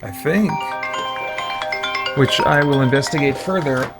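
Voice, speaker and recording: A man's voice: mid-range voice, a little slow, vocal fry, over loud music. A man chatting casually on a gaming livestream, thinking aloud in a rambling, hesitant way and sounding tired late in a marathon. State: tired near end of all-night marathon. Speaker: game streamer.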